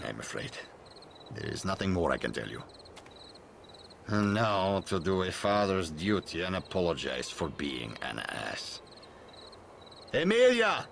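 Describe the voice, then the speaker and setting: A man's voice: lowish voice, gravelly, a bit bright, a little hiss or hum, exaggerated voice. An actor playing an exasperated but fond middle-aged father and leader in a video game, theatrical, blustering and rueful. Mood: calling out, apologetic. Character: exasperated, fond old patriarch and father, self-deprecating leader. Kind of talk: video game cutscene voice acting.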